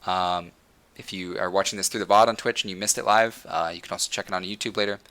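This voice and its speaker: Bright-voiced young man, passionate stream host. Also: low voice, fairly even intonation, fast. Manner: passionate, repeats words while thinking. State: losing his voice. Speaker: livestream host. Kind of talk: casual gaming rant to chat.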